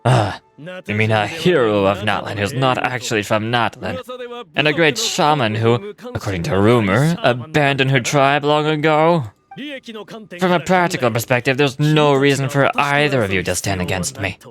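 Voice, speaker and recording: An actor playing a scheming, pompous merchant villain in a video game, theatrical and self-serving, talking with indignant persuasion. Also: male voice, mid-range voice, normal speed, over music, natural voice. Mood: smug, scheming.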